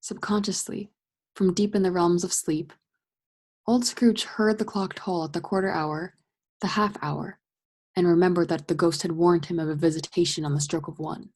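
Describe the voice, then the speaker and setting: A woman narrating a ghost tale in a radio play with a breathy voice and measured, dramatic delivery. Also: fairly low pitch, steady tone, a little mellow. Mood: measured, eerie. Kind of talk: scripted narration.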